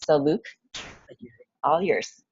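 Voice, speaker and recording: A woman moderates a conference panel in an informal, slightly halting way. Her voice sits low for a woman and is husky. The recording sounds dull and lo-fi. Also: lively intonation, darkish tone. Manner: informal, halting, polls the audience. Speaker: session moderator. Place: conference session room.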